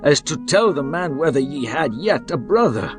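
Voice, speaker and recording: A man's voice: a little high, sing-song, moderate pace. A man voicing Jacob, an aged father full of sorrow and lament, in a dramatized scripture reading. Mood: grieving. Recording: music bed behind voice.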